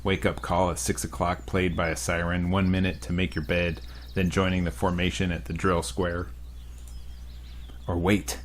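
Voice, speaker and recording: A young man with a notably deep voice hosts a let's play, reading a visual novel's story aloud. He breaks off into crude jokes and wisecracks between the lines, with faint noise behind. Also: little pitch movement, moderate pace. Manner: crude joking asides.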